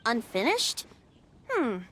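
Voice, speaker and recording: A woman's voice: a little high, huge pitch swings, takes it slowly, fairly bright tone, slight background noise, full character voice. A woman voicing a playful, carefree boyish bard god in a video game, with a teasing, lilting, theatrical storyteller's manner. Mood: playful, musing.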